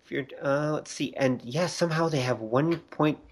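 A Canadian man co-hosting a casual podcast chat, pedantic and quick to correct himself. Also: fairly high pitch. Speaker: podcast co-host.

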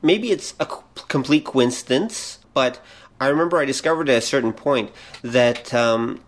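A man's voice: medium pitch, normal speed. Explanatory man chatting on a podcast. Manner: explanatory, analytical. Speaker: podcast co-host. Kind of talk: casual pop culture discussion.

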